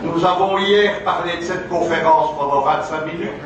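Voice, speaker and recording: Man from Belgium, fairly high voice, formal podium speech; distant, roomy hall sound with an audience. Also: crowd noise. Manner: measured, declamatory. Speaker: conference speaker. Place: large conference hall. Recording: echoey hall from far off, audience.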